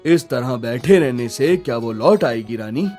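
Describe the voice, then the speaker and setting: A commanding king, played by a man, speaks formally in a middle-pitched voice. He sounds authoritative and questioning, in cartoon-story style. Soft music is behind him. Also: lively intonation, measured pace, natural voice. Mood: concerned, commanding. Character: commanding king, concerned husband of a grieving queen. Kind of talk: Hindi cartoon story dialogue. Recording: music under the voice.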